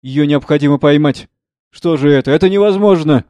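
A man's voice: a little high, fairly quick, mellow, no room sound, natural voice. A man playing an impassioned, solemn father in a stage drama, declaiming with emphatic repetition. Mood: alarmed, urgent. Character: impassioned father, consoling visionary, solemn and fervent.

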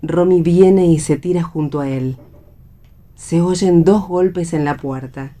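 Argentine woman narrating stage directions for radio theatre, low voice, scripted read. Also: takes it slowly, warm tone.

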